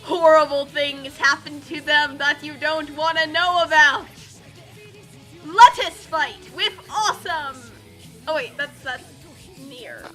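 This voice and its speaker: Very bright, high-pitched woman reading comedy. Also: slow pace. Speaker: co-reader of a comic story. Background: music.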